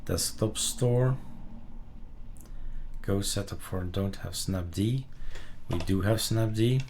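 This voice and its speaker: Low-pitched man muttering through a tutorial. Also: slow pace, darkish tone. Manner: muttering in short fragments while working. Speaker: tutorial host. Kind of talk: casual screen-capture software tutorial, thinking aloud. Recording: background noise with keyboard typing and clicks. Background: keyboard typing, mouse clicks.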